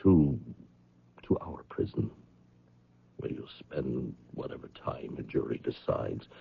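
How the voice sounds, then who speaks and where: An actor playing a grave, persuasive man in a radio drama, solemnly coaxing someone guilty with measured, dramatic delivery. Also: rumbling low, animated, slight background noise, full character voice. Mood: gently persuasive. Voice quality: gravelly, husky. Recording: muffled, narrow-band old radio broadcast.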